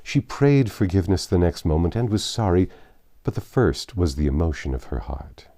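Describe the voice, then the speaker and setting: A man reads a classic story as an audiobook narrator. His voice is low and mellow, with wide, dramatic swings of intonation and deliberate phrasing. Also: very animated, no room sound.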